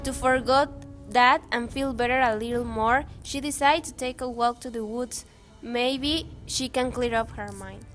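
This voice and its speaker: High-voiced young woman narrating over music. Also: a little slow, fairly bright tone. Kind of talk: scripted fairytale narration.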